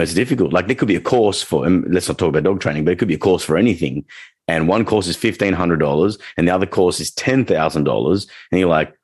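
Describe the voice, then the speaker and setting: A man's voice: low voice, a little mellow, no room sound, clean studio sound. A man who works as a dog trainer, co-hosting a casual podcast chat and talking in a rambling way, with many restarts and fillers.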